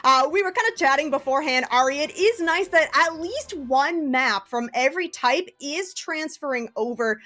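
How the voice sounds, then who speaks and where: A woman's voice: high voice, animated, normal speed, few pauses, a bit bright. A woman presenting a live esports broadcast casually, upbeat and chatty as she addresses the viewers. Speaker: esports broadcast host and caster.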